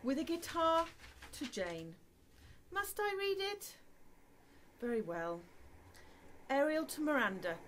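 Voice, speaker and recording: High, swooping, bashful young wife played by an actress. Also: female voice, big pitch swings, takes it slowly, long pauses, a bit bright, slight background noise, heavily acted. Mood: flustered, reluctant. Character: Jane, bashful, reluctant young wife given a gift. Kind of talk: radio play acting.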